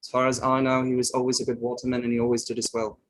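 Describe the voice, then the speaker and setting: A man's voice: mid-range voice, completely flat delivery, very fast, a bit bright, lightly acted, rough recording. A man playing a matter-of-fact, slightly defensive boat crewman giving evidence in a table read of an inquiry play. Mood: assured.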